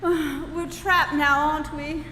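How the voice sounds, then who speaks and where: A woman acting a hapless, anxious Earthman in a live comedy play. The voice is very high, sharp and plaintive, echoing in a hall. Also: fairly even intonation, unhurried, slight background noise. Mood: plaintive resignation. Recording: echoey reverberant hall.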